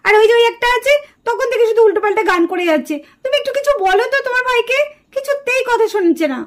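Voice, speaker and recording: A woman's voice: very high-pitched, lively intonation, quick pace. A woman voicing an irritable, scolding young female student doll in a puppet drama. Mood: complaining. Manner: scolding, complaining.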